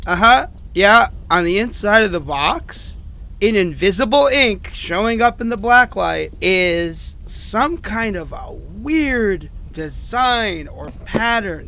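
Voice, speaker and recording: A man acts out an anxious, haunted survivor in a horror audio drama, speaking into a recorded diary. His voice is high for a man and crackles with fry. He rambles and hesitates, running himself down as he goes, over a faint layer of noise. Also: pushed and bright, exaggerated voice. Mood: curious, uneasy. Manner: rambling, hesitant, self-deprecating.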